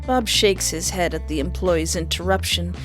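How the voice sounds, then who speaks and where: A woman hosting a game playthrough, reading narration aloud and reacting casually in a playful, expressive way. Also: lowish voice, moderate pace, faint music behind.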